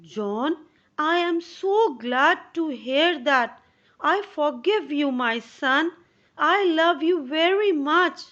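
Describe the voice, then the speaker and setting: A woman's voice: sits high, expressive, slow, a bit bright, muffled recording, voice pushed up, exaggerated voice. A woman reading a firm, loving mother. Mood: tender.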